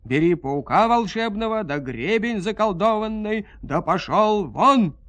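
A man voicing a bossy, threatening villain king in a fairy tale, loudly barking orders and threats. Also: high-pitched, lively intonation, unhurried, voice pushed up. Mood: furious. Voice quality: loud, commanding. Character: raging villain sorcerer-king, bossy, threatening male tyrant.

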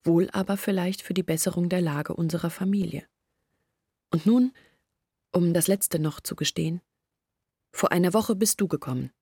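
A woman's voice: fairly low pitch, normal speed, pauses often, mellow, breathy edge, dry recording, studio quality. An actress playing a young village woman who earnestly confides her family's troubles in a radio play. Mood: earnest, confiding. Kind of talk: German radio play monologue.

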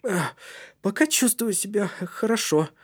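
A man voicing a small, earnest young boy with a squeaky, breathy, effortful voice in a story. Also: normal speed, warm tone, natural voice. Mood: breathless.

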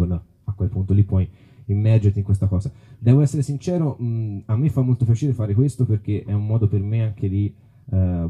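Guy presenting his book, really mellow muffled-dark voice, bit raspy, explains stuff reflectively. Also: fairly low pitch. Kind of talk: informal live book presentation.

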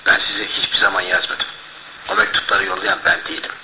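A male actor playing a paranoid, downtrodden suspect in a radio drama, agitated, halting and defensive. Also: low-pitched, monotone, natural voice. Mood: fearful, aggrieved. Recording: echoey, tinny, noisy old radio recording.

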